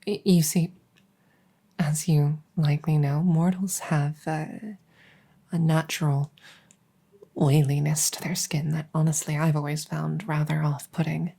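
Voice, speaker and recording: A woman playing a devoted, doting vampire maid in a soft-spoken ASMR roleplay, gentle and deferential, often slipping into a whisper. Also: deep voice, little pitch movement, creaky, breathy edge, full character voice. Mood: gentle, musing. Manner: gentle, unhurried, deferential, formal.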